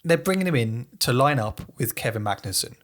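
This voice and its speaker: A man with a croaky voice from a cold, co-hosting a podcast in casual conversation. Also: mid-range voice, lively intonation, brisk, fairly bright tone. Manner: conversational, asks questions. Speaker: podcast co-host. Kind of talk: casual chat about racing.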